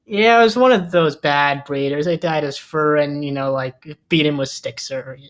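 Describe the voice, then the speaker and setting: Man acting a nervous guy, halting speech, stumbling bluffs, film script table read. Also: a little high, fairly bright tone, clean tone, natural voice. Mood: fumbling, improvising.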